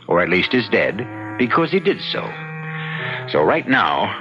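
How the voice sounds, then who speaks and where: A man hosting and narrating a mystery radio drama in a portentous, measured, theatrical scripted style. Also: low voice. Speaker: host and narrator. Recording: muffled radio recording with loud music.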